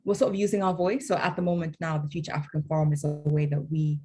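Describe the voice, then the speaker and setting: A woman's voice: sits low, little pitch movement, fast, mellow. A young woman from Tanzania speaking on a panel, hesitant with many ums. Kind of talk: casual discussion.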